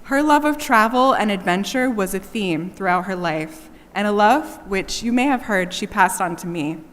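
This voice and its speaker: A woman reading a prepared eulogy in a church, slow and measured, pausing between each item she lists. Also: medium pitch, fairly bright tone. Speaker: daughter giving a eulogy. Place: church sanctuary. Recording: long church reverb, faint background hiss.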